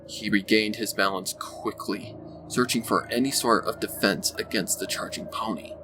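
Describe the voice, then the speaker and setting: A man reading a scripted dark adventure story as its narrator, in audiobook style. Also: lowish voice, normal speed. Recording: music bed behind the voice. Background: loud background music.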